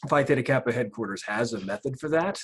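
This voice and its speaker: A man hosting an alumni interview, speaking in a measured, formal way with occasional fillers. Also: mid-range voice, expressive, slightly rough. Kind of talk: interview questions and commentary.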